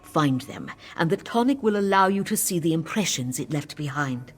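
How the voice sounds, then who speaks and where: A man voicing a solemn cat-folk mystic in a video game, giving measured, urgent guidance. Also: a little high, animated. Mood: grave urgency. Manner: grave, measured, instructive. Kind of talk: scripted video game quest dialogue.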